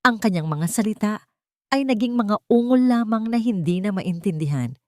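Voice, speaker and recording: A woman's voice: medium pitch, expressive, moderate pace, no room sound, studio quality. A woman with a Filipino accent narrating a scripted, dramatic first-person story.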